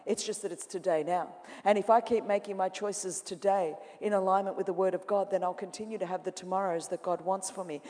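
A woman preaching to a congregation, her voice ringing through a large auditorium with a long reverb. She moves from loud, lively imitation to quiet, intense confession. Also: medium pitch, a little fast, few pauses. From Australia. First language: Greek. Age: 40s. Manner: dramatic, swings from loud mimicking to hushed intensity. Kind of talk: sermon.

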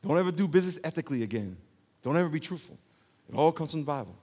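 A man's voice: fairly high pitch, expressive, rapid-fire, darkish tone. A male pastor preaching a sermon emphatically, calling on the congregation to repeat words back to him. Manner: emphatic preaching with call-and-response. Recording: long hall reverb.

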